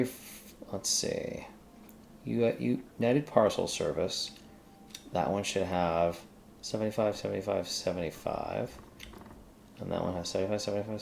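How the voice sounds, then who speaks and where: Male screencast host, low gravelly register, informal think-aloud delivery with self-directed muttering, light background noise. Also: expressive, moderate pace, a little mellow. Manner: mutters to self while working. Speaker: screencast host working through a coding task. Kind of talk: casual think-aloud coding tutorial.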